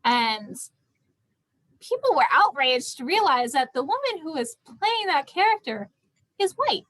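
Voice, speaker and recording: A woman's voice: sits high, sing-song, unhurried, a bit bright, rough recording. A young woman leading a casual group discussion in an emphatic, conversational way, repeating points to stress them. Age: young adult. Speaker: student theatre group discussion leader.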